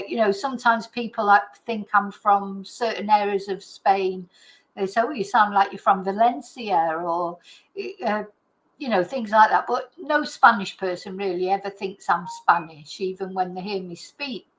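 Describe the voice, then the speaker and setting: A woman's voice: mid-range voice, fairly bright tone, rough recording. A woman with an English accent giving her opinions in a casual, rambling and emphatic chat, as the host of her own show. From Britain. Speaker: true crime chat host.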